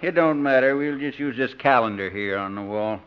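A man's voice: medium pitch, normal speed, clear, resonant tone, close to an everyday voice. A man acting folksy, bumbling small-town examiner. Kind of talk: 1950s radio sitcom acting. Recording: muffled 1950s radio recording.

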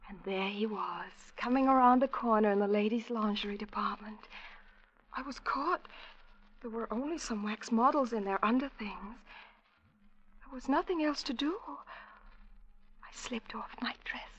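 An actress playing a dreamy, fearful young woman in love in a radio drama, breathy and wistful, often near a whisper, in 1940s radio style. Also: on the high side, moderate pace, pauses often, close to an everyday voice. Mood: rapturous. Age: young adult. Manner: wistful, rapturous, hushed. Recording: dull vintage radio recording.

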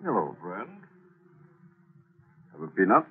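Deep husky smug hitman, tinny old radio drama. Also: sing-song, soft background music, full character voice. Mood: smug menace. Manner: smug, teasing, slow menace. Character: sly menacing male killer, peanut-cracking, mocking. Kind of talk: 1940s radio acting.

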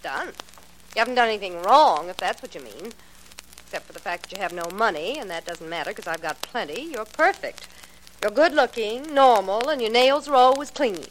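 A woman's voice: medium pitch, lively intonation, normal speed, a little hiss or hum, close to an everyday voice, low-quality recording. An actress playing a spoiled, sarcastic rich young woman in a 1940s radio drama. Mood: scornful. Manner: sarcastic, scornful.

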